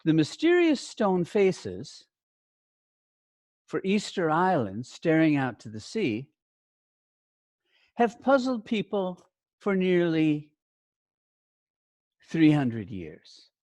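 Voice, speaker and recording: A teacher leads a lesson with simple, step-by-step directions. The pitch moves expressively up and down while the delivery stays slow and deliberate. The sound is dry, with little room in it. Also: huge pitch swings, darkish tone, dry recording. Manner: clear simple explanations with short instructions and check questions. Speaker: teacher training English language teachers.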